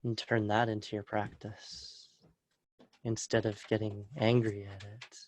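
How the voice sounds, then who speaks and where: A calm, soft-spoken man teaching meditation, guiding a session and then answering questions from an online group. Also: lowish voice, measured pace. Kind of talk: guided meditation and live Q&A webinar. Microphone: video call.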